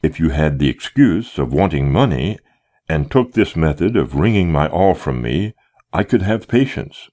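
A man voicing a desperate young gentleman in love who pleads with his captor in impassioned, formal, old-fashioned speech. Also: low voice, sing-song, a little slow, gravelly, pitched above the performer's own voice, exaggerated voice. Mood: aghast, indignant.

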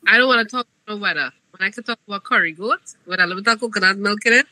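A woman's voice: mid-range voice, rapid-fire, sharp tone, dry recording, poor audio. A woman with a Trinidadian accent co-hosting a casual talk show, sassy and joking. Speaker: co-host. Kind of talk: casual chat.

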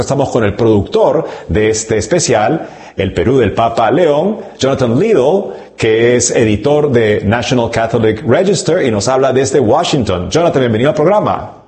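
A man hosting a formal broadcast interview, asking measured, courteous questions. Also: medium pitch, darkish tone.

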